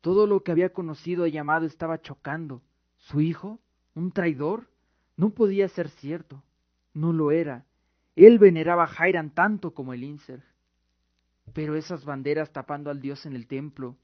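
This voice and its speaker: Guy narrating a radio drama, dramatic, fairly high voice, dry muffled sound. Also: normal speed, dry recording. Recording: dull lo-fi recording with music. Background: music.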